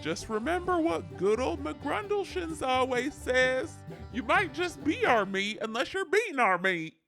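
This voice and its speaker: A folksy but sinister rural troll butcher pitching in a comic ad. The Southern American voice is creaky and on the high side, with lively pitch. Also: female voice, a little slow, faint music behind, exaggerated voice. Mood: folksy sly. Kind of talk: folksy commercial parody.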